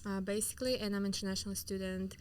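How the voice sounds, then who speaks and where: A young woman from Siberia in her twenties with a Russian accent, talking as an interview guest in a lively, animated way, speeding up in quick bursts full of fillers. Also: mid-range voice, clear, resonant tone. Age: 20s. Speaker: guest entrepreneur. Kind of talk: casual interview talk.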